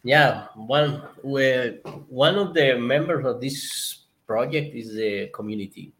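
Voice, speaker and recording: A man's voice: medium pitch, slow, a bit bright. A man from Colombia with a Colombian Spanish accent, answering as a guest in an interview, often stopping and restarting as he searches for words. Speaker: guest, conservation project member.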